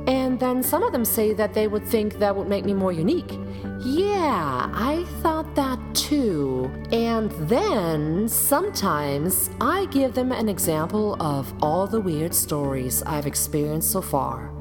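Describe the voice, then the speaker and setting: A woman's voice: mid-range voice, lively intonation, measured pace, few pauses. A young adult woman with a German accent performs a comic personal monologue as a podcast host, theatrical and self-ironic, leaning on words for dramatic emphasis. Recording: long reverb, music behind the voice.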